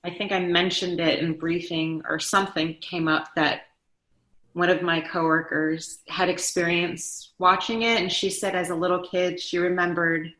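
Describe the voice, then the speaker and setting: Middle-aged female interview guest, informal and rambling, forceful bright tone, roomy echoing sound. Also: fairly low pitch, steady tone, normal speed. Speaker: guest, daughter of an interviewed actor. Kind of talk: casual chat, reminiscing.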